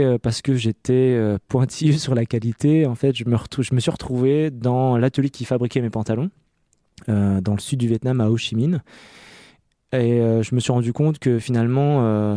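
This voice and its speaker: A man in his early thirties from France, an interview guest telling personal stories conversationally in a hesitant, halting way that often doubles back on words. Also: medium pitch, warm tone, dry recording, studio quality. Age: early 30s. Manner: hesitant, halting delivery with many self-repetitions. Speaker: interview guest, clothing maker.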